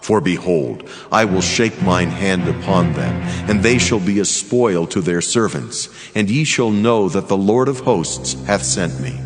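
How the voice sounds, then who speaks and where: A solemn male angel proclaims scripture in a low, grave voice. The delivery is commanding and declamatory, with strong music playing behind it. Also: animated, measured pace, few pauses. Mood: commanding, proclaiming. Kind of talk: dramatized scripture reading. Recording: loud music bed behind voice.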